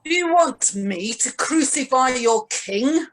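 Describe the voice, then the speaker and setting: A woman plays Pontius the Roman governor in a Bible drama, troubled yet asserting authority. The voice is husky and slow, with emphatic, widely swinging intonation. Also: sits high, lively intonation, heavily acted. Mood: challenging.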